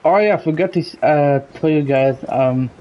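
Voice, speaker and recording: A man's voice: on the high side, moderate pace. A man chatting casually over a game stream, speaking in a hesitant, stumbling way. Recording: dull, slightly noisy home mic.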